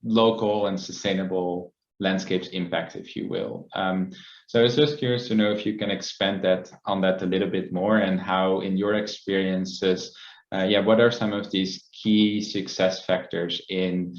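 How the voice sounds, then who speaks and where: A man with a very low voice hosts an online panel. He is informal and winds his way through hedged questions. The room echoes and the call audio is a bit dull. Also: level tone, normal speed. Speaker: webinar moderator.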